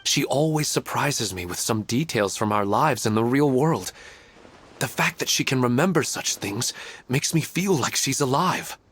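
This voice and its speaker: An actor voicing a grieving husband in a video game, speaking gently and quietly with a wistful tone. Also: male voice, medium pitch, lively intonation, normal speed, slight background noise, lightly acted. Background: game soundtrack music.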